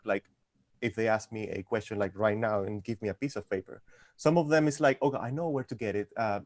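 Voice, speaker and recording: A man's voice: medium pitch, darkish tone, dry recording. A man from Venezuela, a physics tutor answering interview questions carefully, with some hesitations. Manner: careful, slightly halting, with "uh" fillers.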